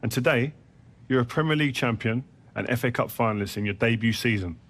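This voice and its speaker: A man's voice: mid-range voice, quick pace, natural voice. A man playing an easygoing ex-footballer who asks probing questions as an interviewer in a game cutscene. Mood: probing, casual.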